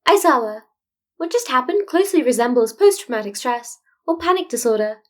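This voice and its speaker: A small, courteous animal headmaster, voiced by a woman, speaks in a lifted, high register. He is diplomatic and well-meaning, gently pressing his point like a concerned boss in an audiobook story. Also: expressive, brisk. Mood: gently advising. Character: polite, probing animal school principal, male, well-meaning. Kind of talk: fan fiction audiobook character voice.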